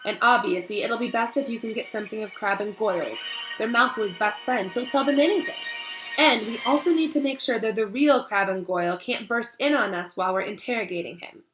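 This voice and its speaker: A woman reading a storybook voices a bossy, brisk, confident schoolgirl with an emphatic, matter-of-fact manner. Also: on the high side, a bit bright, soft background music, natural voice, rough recording.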